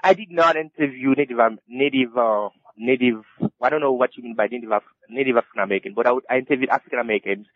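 A man, an author being interviewed, who answers haltingly and restarts his phrases again and again. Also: mid-range voice, no room sound. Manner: halting, with many repeated restarts. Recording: dull lo-fi dry recording.

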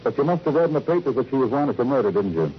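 A man's voice: mid-range voice, very animated, rapid-fire, slight background noise, close to an everyday voice. A man playing a terse, dogged, weary police detective in a classic radio crime drama, with clipped hard-boiled delivery. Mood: pressing. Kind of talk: classic radio crime drama, first-person narration and dialogue. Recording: dull old radio recording, music behind.

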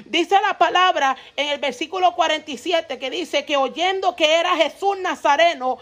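A woman preaching a fervent sermon, repeatedly breaking in with praise and bursts of tongues and pushing her voice up to stress each point. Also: fairly bright tone. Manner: fervent, peppers speech with "vive Dios, aleluya" and tongues; rises in pitch when stressing points. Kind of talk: Pentecostal-style sermon.

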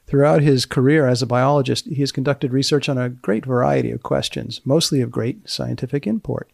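A man's voice: mid-range voice, brisk, vocal fry, darkish tone, no echo, studio quality. A man hosting a podcast, giving scripted narration that introduces research studies. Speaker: podcast host.

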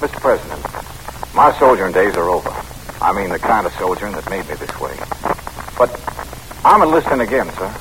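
A man playing an earnest, battle-scarred army captain, respectful and halting, in 1940s radio drama style. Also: sits low, sing-song. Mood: earnest, humble. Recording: faintly noisy old radio broadcast.

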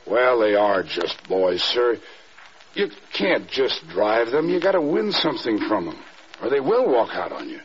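An actor playing a loyal, middle-aged American air force adjutant, earnest and deferential, in classic radio drama. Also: male voice, lowish voice, big pitch swings, a little slow, breathy edge, exaggerated voice. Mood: hesitant, earnest. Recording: dull, hissy old radio recording.

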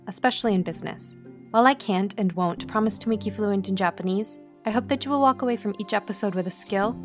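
A woman hosting a podcast, talking clearly and breaking phrases down slowly like a teacher. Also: medium pitch. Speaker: podcast host. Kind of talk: scripted podcast intro and interview.

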